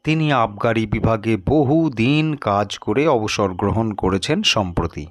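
Man, audiobook narrator, unhurried pace, expressive storytelling, dry recording. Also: on the low side, no room sound. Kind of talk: scripted dramatic story narration.

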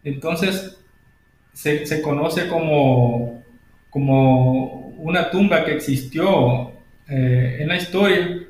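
Honduran male guest presenter, slightly high voice, slow and hesitant, heavy room echo. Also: a little mellow, slight background noise. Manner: hesitant, halting delivery with many pauses. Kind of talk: informal slide presentation. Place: indoor room at home. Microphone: video call device. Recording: very echoey room, video call.

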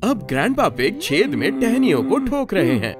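A man's voice: sits high, huge pitch swings, moderate pace, over music. A man narrating a children's cartoon as a cheerful storyteller. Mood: bright storytelling.

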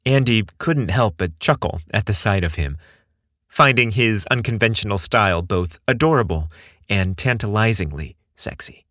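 A man narrating a romantic story in an expressive, earnest way, recorded close on a studio mic. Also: lowish voice, moderate pace, no echo. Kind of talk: scripted narration.

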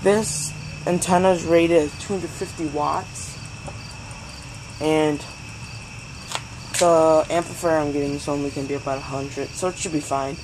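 A man's voice: fairly high pitch, fairly even intonation, unhurried, pauses often. A young male hobbyist giving a casual, rambling, slangy product review outdoors at night. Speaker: hobbyist vlogger. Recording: noisy outdoor recording with insects.

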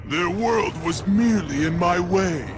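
A man's voice: sits high, measured pace, over music. A man voicing an arrogant alien overlord in a video game, imperious, theatrical and menacing. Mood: cold disdain. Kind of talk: video game villain acting.

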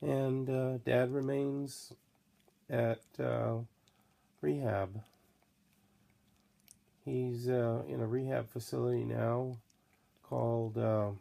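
Middle-aged man, hoarse and worn out, gravelly fry at a middling pitch, slow rambling vlog talk. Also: warm tone. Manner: slow, tired, rambling with many fillers. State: hoarse, coughing. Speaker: vlogger. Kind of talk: late-night personal video diary. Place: small room indoors at night. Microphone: laptop or phone camera close to face.

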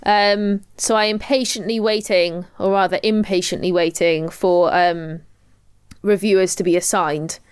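A woman's voice: lowish voice, steady tone, a little slow, clean tone, dry recording, clean studio sound. A young woman with a Welsh accent chatting casually as a podcast co-host. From Wales. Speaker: podcast co-host and astrophysics researcher. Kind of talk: casual chat.

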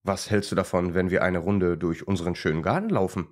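A man's voice: sits low, fairly quick, lightly acted. A man voicing a friendly male German shepherd who plays teacher in a children's story, polite and instructive.

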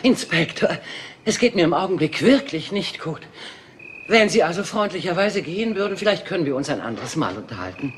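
A proud, aging film diva, played by a woman in a radio crime play, haughty and quick to take offense. Her pitch rises and falls in an expressive, lively way. A faint hiss runs behind the voice. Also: lightly acted. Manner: haughty, indignant. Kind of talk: German radio crime drama.

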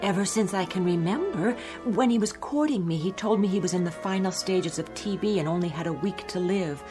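Woman playing an anxious, soft-spoken wife. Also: on the low side, brisk, music in the background, natural voice. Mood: troubled, confiding. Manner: hushed, pleading, worried. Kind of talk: radio drama acting.

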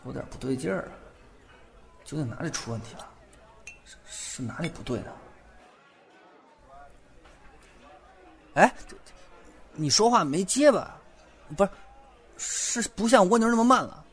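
A man's voice: sits high, animated, normal speed, gravelly, long pauses. A man playing a blunt, nervously curious young man in an audio drama, questioning expressively and slipping between muttering and blurting. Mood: puzzled, agitated. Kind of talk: Chinese audio drama acting.

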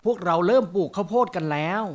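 A woman's voice: medium pitch, fast talker, music in the background, lightly acted. A woman playing an eager village woman. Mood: friendly. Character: friendly village woman, then indignant.

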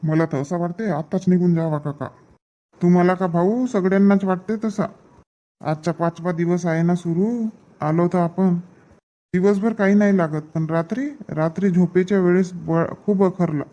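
A man's voice: sits high, steady tone, moderate pace, mellow, lightly acted. A man voicing easygoing village farm men in a cartoon comedy. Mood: grumbling.

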